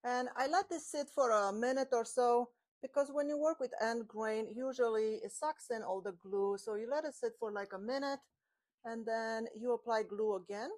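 A slightly high-voiced woman in her forties walks through a woodworking build in a casual, chatty way. She talks while she handles boards and glue, her clip-on mic catching her in a home workshop with the garage door open. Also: moderate pace, a little mellow. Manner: chatty, explains while working with her hands. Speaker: woodworking channel host. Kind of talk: step-by-step workshop tutorial. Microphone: small clip-on mic on her shirt.

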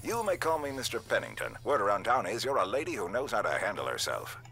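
A man's voice: lowish voice, big pitch swings, fast, bright, forceful tone, exaggerated voice. An actor playing a smug, scheming male villain in a video game, with a pompous, theatrical manner.

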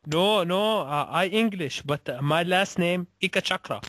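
A man voicing a pleading immigrant cow seller with an Indian accent and halting broken English on a prank call. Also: high-pitched, fairly bright tone, dry recording, clean studio sound. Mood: earnest, halting. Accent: put-on Indian accent.

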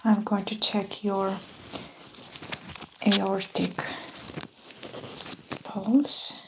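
A woman whispering softly and breathily in an ASMR medical exam roleplay, gently explaining each step without hurry as the doctor. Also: mid-range voice, flat delivery, very slow, vocal fry, background noise. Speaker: ASMR performer playing a doctor.

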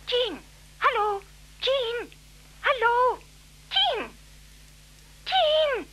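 A shrill, alarmed radio-play friend. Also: female voice, very animated, very slow, bright, forceful tone, full character voice. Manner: urgent, pleading. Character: alarmed, insistent woman friend on the telephone. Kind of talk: Dutch radio play acting. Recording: humming, noisy old radio recording.

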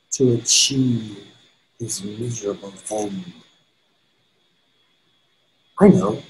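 Man playing a slow, scheming old devil. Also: medium pitch, a little hiss or hum, low-quality recording. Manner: deliberate, drawn-out, plotting delivery. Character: scheming devil, old male tempter, contemptuous and gleeful. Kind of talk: amateur readers theater. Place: indoors at home, video call.

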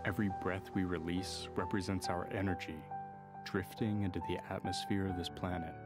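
A man's voice: low voice, level tone, normal speed. A man giving reflective scripted voice-over narration in a solemn, sentimental manner. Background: music.

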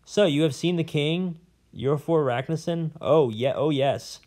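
A man's voice: fairly high pitch, unhurried, a little mellow, voice pushed up. A man doing a blustering old professor. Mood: boastful. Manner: blustering, boastful, fussy. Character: old male imprisoned professor, pompous and rambling. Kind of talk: audiobook character voice.

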